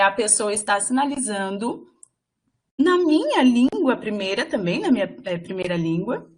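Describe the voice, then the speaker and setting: Halting woman voicing a signed lecture. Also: medium pitch. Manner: halting, with frequent fillers and restarts while interpreting. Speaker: sign language voice interpreter for a workshop. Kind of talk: live voice interpretation of a lecture.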